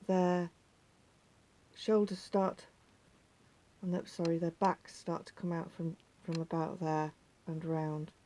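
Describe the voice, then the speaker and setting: A woman with a slightly croaky voice, patiently talking viewers through a craft step by step and often dropping to a near whisper. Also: on the low side, warm tone, slight background noise. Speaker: needle felting teacher. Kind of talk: hands-on craft tutorial.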